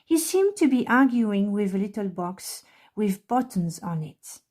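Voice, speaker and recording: A woman's voice: medium pitch, big pitch swings, measured pace, darkish tone. A woman reading a children's storybook aloud as narrator at a library storytime.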